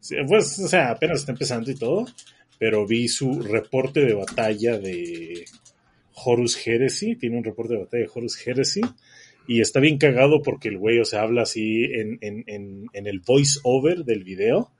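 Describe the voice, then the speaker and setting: A man's voice: mid-range voice, animated, normal speed, slight background noise. A man with a northern Mexican accent chatting casually as a podcast co-host, rambling with lots of fillers and restarts. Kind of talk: casual chat.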